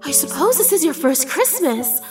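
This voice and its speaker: A woman voicing a gentle, polite young girl in a radio play. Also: sits high, big pitch swings, normal speed, music in the background. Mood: tender, cheerful. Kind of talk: amateur radio play acting.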